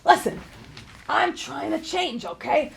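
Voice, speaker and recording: A woman plays a divorced male bar owner in his fifties with a very creaky, slightly raised voice. He is awkward and kindhearted. He hesitates and rambles as in a live comedy table read. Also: high-pitched, expressive, slow, a little hiss or hum, exaggerated voice. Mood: earnest, self-pitying. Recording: live stage reading before an audience.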